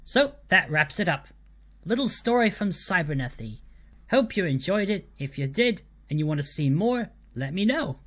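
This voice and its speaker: A woman narrating a humorous scripted story as a storyteller, with deliberate emphasis on the punchlines. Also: fairly low pitch, sing-song.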